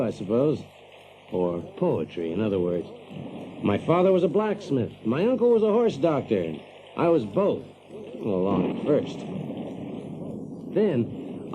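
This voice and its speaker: Animated, folksy Yankee factory man. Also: fairly high pitch, lo-fi sound, background noise. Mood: proud, amused. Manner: folksy, chuckling, animated, drawling emphasis. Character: brash, practical, folksy Yankee factory man telling his tale. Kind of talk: audio drama first-person storytelling.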